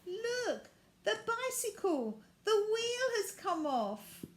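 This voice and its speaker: A woman's voice: very high voice, big pitch swings, slow, roomy sound, pitched above the performer's own voice, exaggerated voice. A woman voicing an anxious, squeamish young girl in a children's story, dramatic and given to exclaiming. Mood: dismayed.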